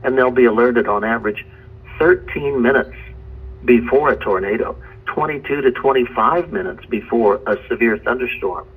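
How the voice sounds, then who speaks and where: Male meteorologist, mid-pitched, husky voice, earnest testimonial, measured and deliberate phrasing. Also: narrow, tinny audio.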